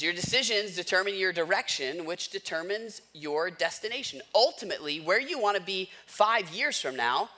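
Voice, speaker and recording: A man's voice: high-pitched, pushed and bright. A man giving a conversational sermon as a pastor, asking rhetorical questions and repeating key phrases for emphasis.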